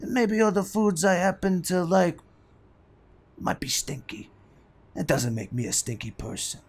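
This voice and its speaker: A high-pitched gangster boss for a comedy audio drama. He blusters and wisecracks, quick to take offense and obsessed with his food. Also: very animated, a little mellow, full character voice. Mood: indignant. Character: blustering Italian-American mob boss, food-obsessed, touchy.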